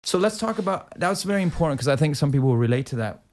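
A man's voice: a little high, expressive, rapid-fire, a little mellow, soft background music. A man in his forties hosting a casual, conversational interview in a supportive way. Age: 40s. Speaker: podcast host, musical theatre performer.

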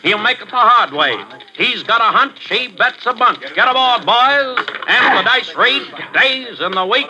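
An actor playing a fast-talking male craps game dealer who barks rhyming patter in a 1940s radio drama. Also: very high-pitched, lively intonation, crowd noise, rough recording. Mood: hustling. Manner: rhyming patter, barking calls. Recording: old radio broadcast.

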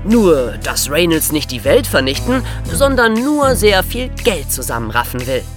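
A man's voice: on the high side, very animated, a little slow, over music. A male co-host of a children's podcast, narrating in a storytelling style.

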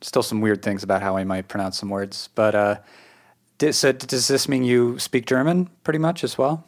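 A man's voice: mid-range voice, steady tone, normal speed. A man with a New England accent, hosting a casual conversational podcast interview. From New England, United States. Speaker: podcast host.

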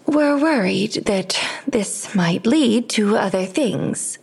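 An actress playing an anxious, prudish mother, earnest and husky, in an audio drama. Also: medium pitch, animated, slow, darkish tone, exaggerated voice. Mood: worried. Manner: earnest, worried.

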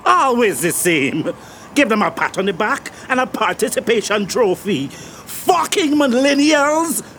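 An actor playing an outraged, complaining storekeeper in an audio drama, griping with impatient exasperation. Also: male voice, extremely high pitch, big pitch swings, unhurried, pushed and bright, slight background noise, full character voice. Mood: exasperated. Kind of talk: scripted audio drama acting.

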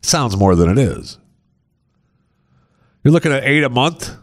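A man hosting a talk radio show, telling a story in casual banter and working through the numbers slowly with dry humor. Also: medium pitch, no echo. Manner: wry, deadpan storyteller who walks through numbers slowly.

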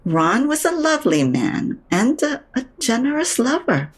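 An older mother in an audio drama, her high voice husky and swooping widely. She sounds wistful and entirely unashamed. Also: very animated, measured pace, exaggerated voice. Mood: wistful, defensive.

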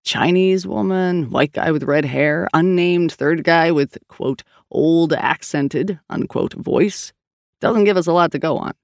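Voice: medium pitch, racing, few pauses, clear, resonant tone, no echo, natural voice. An audiobook narrator playing a weary, skeptical male police partner, blunt and dryly sardonic. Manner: dry, sardonic, reluctant.

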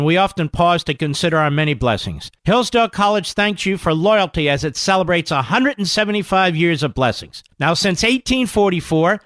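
A man reading a scripted radio advertisement in a smooth, measured, earnest manner. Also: high voice, a bit bright, no echo. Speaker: advertisement announcer.

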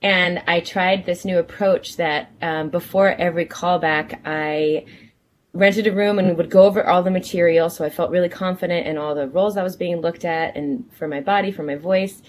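A woman's voice: on the low side, steady tone, normal speed. A woman from California, a guest actor telling her story in an earnest, reflective way.

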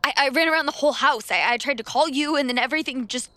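A woman's voice: high-pitched, fast, sharp tone, no echo. A woman playing an anxious, shaken young woman in an audio horror drama. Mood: alarmed. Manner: stammering, repeating words. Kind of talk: naturalistic audio drama acting.

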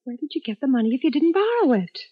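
An actress playing a weary, loving young wife, earnest and gently reproachful, in a 1950s radio drama. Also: female voice, sits high, big pitch swings, fast. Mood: anxious, questioning. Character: long-suffering, loving young wife and mother, weary, patient. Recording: dull 1950s radio recording.

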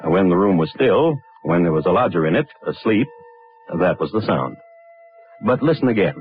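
Tinny 1950s radio recording: sardonic crime-story host, deep, deliberate, dry, widely swinging intonation. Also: male voice, big pitch swings. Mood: dry, ominous. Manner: deliberate, dry, macabre understatement. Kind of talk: 1950s radio drama narration. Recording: tinny, band-limited vintage radio recording.

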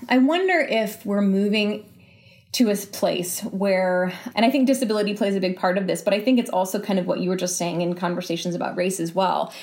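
A woman's voice: mid-range voice, a little fast, darkish tone. A woman giving thoughtful, conversational interview answers, reasoning aloud and rephrasing as she goes. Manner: reflective, exploratory, repeats and rephrases as she reasons. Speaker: interview guest, writer.

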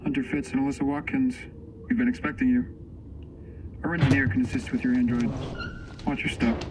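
A guarded male guide played by a man in an audio drama. He speaks briskly and officially in a very even, mid-pitched voice, with clear vehicle-like noise behind. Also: lightly acted. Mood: guarded, cool.

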